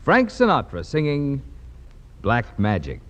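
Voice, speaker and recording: Animated American man, 1940s radio announcer, echoey. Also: mid-range voice, takes it slowly, noisy background. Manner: jokey, fawning toward his boss. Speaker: radio announcer and comic sidekick. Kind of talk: 1940s radio comedy banter and sponsor announcing. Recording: muffled, echoey 1940s radio studio recording.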